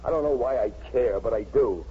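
A man's voice: mid-range voice, a little fast, husky. An actor in a 1950s radio drama playing an earnest, blunt, dogged policeman who talks plainly, urgently and in slang. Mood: blunt, insistent. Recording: muffled, hissy 1950s radio recording.

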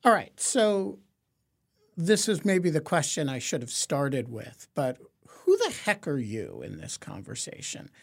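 Halting, wry middle-aged male interviewer. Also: fairly high pitch, wildly swooping pitch, vocal fry. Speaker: podcast host, interviewer. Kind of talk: casual conversational interview.

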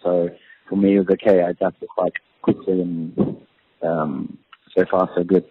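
A young man talks in a low, husky voice, pausing often with ums and uhs as he answers. The sound is thin and tinny, like a phone line. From Australia. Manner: relaxed, hesitant, many ums and uhs. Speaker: professional footballer, guest. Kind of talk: casual interview answers.

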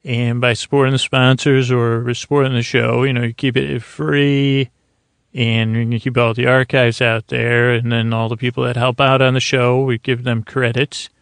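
A male podcast host with a gravelly, fry-laden voice talks in a dry, close recording. He meanders and restarts through a self-deprecating bedtime monologue, circling around his point in a rambling way. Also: medium pitch, little pitch movement, moderate pace, fairly bright tone, no room sound. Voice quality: gravelly, creaky.

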